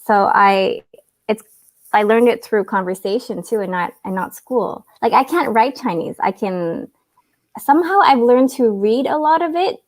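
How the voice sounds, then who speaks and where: A woman's voice: a little high, expressive, normal speed, no echo. A woman whose first language is Cantonese, chatting casually as a guest on a live video call, giggly and full of fillers. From Canada.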